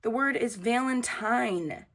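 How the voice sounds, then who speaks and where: A woman's voice: on the high side, takes it slowly, a bit bright, pitched above the performer's own voice. A woman voicing a bossy, precise little schoolgirl in a storybook, with an emphatic, correcting manner. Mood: annoyed.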